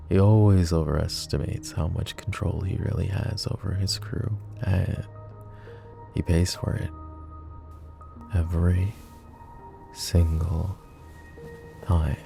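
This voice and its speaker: Man playing a mafia boss, deep male voice, creaky low edge, hushed, possessive, tender yet menacing, deliberate phrasing, ASMR roleplay, soft background music. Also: flat delivery, heavily acted. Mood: smug. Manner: hushed, deliberate, tender, often dropping to whispers. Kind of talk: intimate ASMR roleplay acting.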